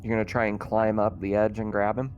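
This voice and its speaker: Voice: medium pitch, flat delivery, a little fast, highs cut off. Game master talking players through a tabletop rpg, explaining stuff casually, bit of music behind. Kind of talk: running a tabletop roleplaying game.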